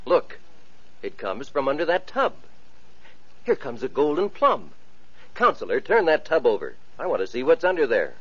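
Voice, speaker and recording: A man's voice: on the high side, wildly swooping pitch, moderate pace, slight background noise. A man playing a gallant young prince, courtly and commanding, in a 1940s radio play. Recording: muffled old radio broadcast.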